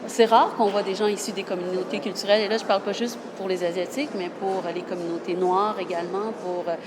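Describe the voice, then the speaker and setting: A woman's voice: medium pitch, quick pace, background noise. Earnest québécoise woman, lots of restarts. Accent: Québécois French. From Quebec. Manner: earnest, restarts often, animated. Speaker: journalist guest. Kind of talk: casual interview talk.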